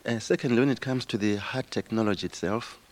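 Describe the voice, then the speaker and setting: A man interviewed in English, speaking hesitantly with pauses and fillers. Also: fairly low pitch. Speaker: internet company founder.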